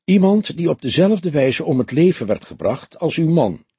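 A man's voice: a little high, big pitch swings, warm tone, slightly rough, no echo, voice pushed up, full character voice. A man voicing a weary old police detective who speaks formally and carefully in an audiobook. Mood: probing. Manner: formal, measured, cautious.

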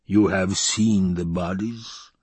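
A man acts out a scheming, tyrannical baron in a dramatised audiobook. The voice rumbles, husky and drawn-out, with imperious contempt in a close, dry recording. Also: steady tone, darkish tone, no room sound, heavily acted. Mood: cold questioning. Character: menacing, scheming, obese male baron and tyrant.

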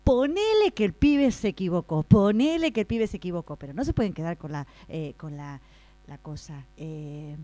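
A woman's voice: fairly low pitch, animated, normal speed, warm tone, no echo, natural voice. Woman acting an insistent, argumentative Rioplatense mother. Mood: nervous, pleading. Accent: Rioplatense Spanish. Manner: repetitive, hectoring, interrupting, stammering when flustered. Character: insistent, argumentative mother defending her schoolboy son. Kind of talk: improvised radio theatre workshop acting.

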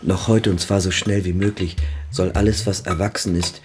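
An actor playing a grave, authoritative Armenian village priest in a radio drama, with solemn, formal delivery. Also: male voice, low-pitched, little pitch movement, normal speed, close to an everyday voice. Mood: grave, resolute.

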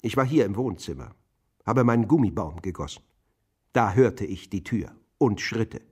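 An actor playing a terse, guarded old pensioner questioned as a neighbour in a German radio drama. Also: male voice, lowish voice, expressive, moderate pace, warm tone, husky. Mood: defensive, matter-of-fact. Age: older. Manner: terse, guarded, matter-of-fact answers. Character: reticent old pensioner, a murdered man's nosy neighbour.

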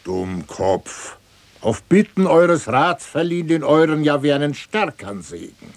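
Pompous high-voiced old priest, slowly declaiming. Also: male voice, sing-song. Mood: sly, lecturing. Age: older. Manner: pompous, declaiming, drawn-out rhetorical delivery. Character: pompous old male priest, greedy, preaching war. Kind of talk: classical stage comedy in radio play.

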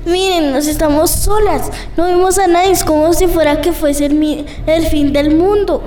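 Very high-pitched anxious schoolgirl acted by a female. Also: steady tone, moderate pace. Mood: worried, scolding. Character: anxious schoolgirl, frightened at being left alone. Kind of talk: school radio drama. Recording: roomy school radio recording over music.

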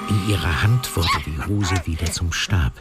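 A man's voice: low-pitched, normal speed. A man narrating a scripted fantasy story in a radio play. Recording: music under the voice.

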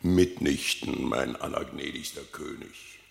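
A man's voice: very deep voice, measured pace, gravelly, breathy edge, dry recording, exaggerated voice. A man playing a proud, blustering bear who serves as royal messenger, declaiming theatrically in a German radio play. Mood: indignant, vowing. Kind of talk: German radio play acting of verse fable.

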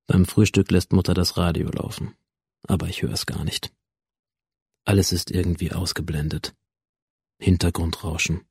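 A sullen young school shooter in a modern radio play. His voice is low and mellow, recorded very dry. He is cynical and defiant, sliding between introspective narration and coarse, sardonic retorts. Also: breathy edge, no echo, full character voice. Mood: detached, bitter. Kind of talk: modern radio drama acting.

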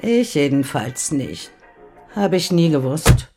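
A frail old grandmother in a radio drama, with a very low woman's voice. She is terse, dry and resigned, yet her pitch moves a great deal. Soft music plays behind her. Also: very animated, slow pace, heavily acted. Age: older. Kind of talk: German radio play acting.

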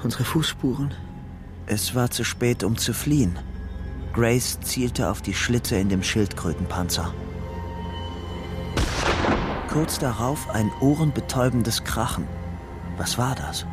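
A man's voice: medium pitch, expressive, measured pace, music in the background, lightly acted. An actor playing a young fugitive who narrates his grim story soberly and tensely in short sentences for a radio crime drama. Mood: tense, hushed.

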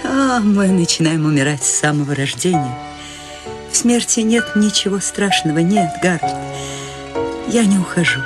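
An actress playing a serene, whimsical eighty-year-old woman in a radio play, talking in a calm, gently amused way. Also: lowish voice, moderate pace, over music, lightly acted. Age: 80s.

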